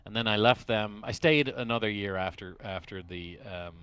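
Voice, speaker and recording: A middle-aged man from Dublin with an Irish accent, talking as an interview guest at a race track, pausing often and restarting his sentences. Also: fairly low pitch, fairly bright tone, clean tone, no room sound. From Ireland. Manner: hesitant, many restarts and fillers. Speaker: interview guest, racing team executive. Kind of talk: casual interview. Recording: faint noise at a race track.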